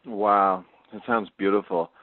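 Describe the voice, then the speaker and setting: A man's voice: lowish voice, fairly even intonation, a little slow. A man interviewing a guest and asking curious follow-up questions. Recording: dry phone-like line.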